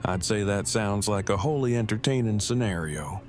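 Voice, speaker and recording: A man plays a deadpan, amorous husband in a fan audio drama, speaking in long formal phrases. His voice sits fairly low, with music clearly behind it. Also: normal speed, close to an everyday voice. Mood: dry flirtation.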